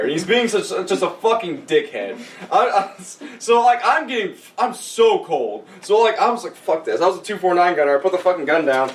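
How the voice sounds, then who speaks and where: A man casually telling a sweary, rambling story among friends, mimicking other people's dialogue as he goes. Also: sits high. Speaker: podcast co-host and army veteran telling a story. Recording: roomy echo, distant mic, faint noise.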